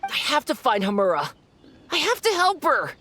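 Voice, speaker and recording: A woman's voice: high voice, wildly swooping pitch, moderate pace, pushed and bright, full character voice. A woman voicing a young boy who is an imaginary friend, earnest and impulsive. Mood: desperate. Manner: earnest and pleading. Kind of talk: video game voice acting.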